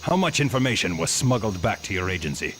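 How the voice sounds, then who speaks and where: An actor playing a cold, menacing villain interrogator in a video game cutscene. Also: male voice, fairly low pitch, very animated, fast talker, over music. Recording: noisy, with chirping insect ambience.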